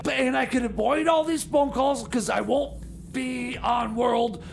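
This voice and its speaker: A very high-pitched, gravelly actor's take on an excitable scheming adventurer in a comedy radio skit. He stammers and trips over himself in a flustered way. A faint noise sits under the recording. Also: unhurried, full character voice. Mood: amped, urgent. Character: excitable, scheming time-travel adventurer pitching wild escape plans. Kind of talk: improvised comedy radio theater banter.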